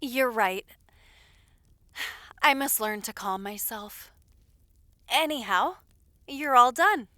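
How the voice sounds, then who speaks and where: A woman playing a caring, loyal lady's maid in an audio roleplay, attentive and gently scolding with polite familiarity. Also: on the high side, animated, slow pace, long pauses, dry recording, exaggerated voice. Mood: protective.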